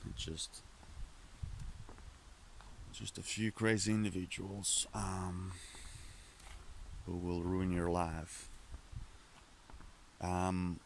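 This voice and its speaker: A man's voice: low voice, a little hiss or hum. A man rambling in a candid, profane monologue, full of restarts and detours, with Finnish as his first language. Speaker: vlogger.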